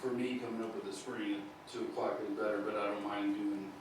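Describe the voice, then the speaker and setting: A man's voice: lowish voice, flat delivery, brisk. A man talking casually as a committee member in a public meeting discussion. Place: indoor public meeting room. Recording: distant mic, very echoey noisy meeting room.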